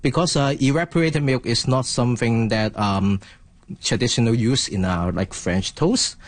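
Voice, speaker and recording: A man, a guest chef, lays out his recipe step by step in an earnest, explanatory way. His voice sits at a middling pitch, and the sound is dry and close. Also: moderate pace, dry recording. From Hong Kong. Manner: earnest, explanatory, with small grammar slips. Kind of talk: explaining a recipe in a studio interview.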